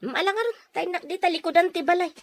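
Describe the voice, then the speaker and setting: Audio drama role: industrious house-proud neighbour played by a woman, Ilocano accent, high voice, practical, homely, dry sound. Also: fast talker, no echo. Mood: busy, dismissive. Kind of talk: Filipino audio drama dialogue.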